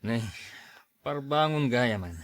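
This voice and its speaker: A man's voice: mid-range voice, animated, slow. A man playing an irresponsible, stubborn, hard-drinking husband in a radio drama, with an Ilocano accent. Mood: tipsy, boastful.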